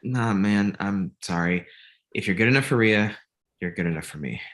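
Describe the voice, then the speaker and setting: A man's voice: lowish voice, lively intonation, normal speed, fairly bright tone. A man playing a self-assured, friendly young friend with an easygoing manner in a comedy table read.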